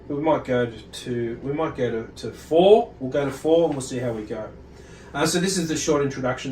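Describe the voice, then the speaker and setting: A man with a slightly high voice teaches scripture informally, reading and then explaining. He speaks haltingly, doubling back on words. The sound is clearly roomy, and he sits a little far from the microphone. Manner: halting, with repeated words and self-corrections. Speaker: religious teacher presenting a study video. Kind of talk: informal scripture lesson, reading text and commenting. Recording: roomy echo, distant mic, faint noise.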